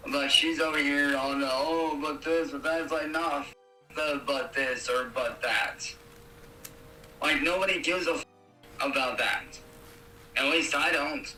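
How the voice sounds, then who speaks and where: A man's voice: a little high, steady tone, unhurried, bright, forceful tone, faint music behind. A young man chatting casually and slangily on an echoey, distant, noisy phone recording. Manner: slangy, swearing. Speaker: caller.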